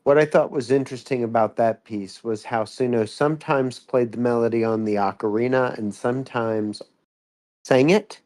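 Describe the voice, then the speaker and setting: A man's voice: fairly low pitch, mellow. A man giving casual, analytical feedback in a thoughtful, explanatory manner. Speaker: co-commentator giving music feedback.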